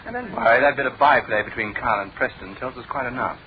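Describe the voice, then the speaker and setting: An actor playing an astute, authoritative English detective chief in a classic radio drama, probing in a clipped, incisive way. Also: male voice, mid-range voice, quick pace, a crowd in the background, lightly acted. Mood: thoughtful, probing. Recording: tinny band-limited old radio recording.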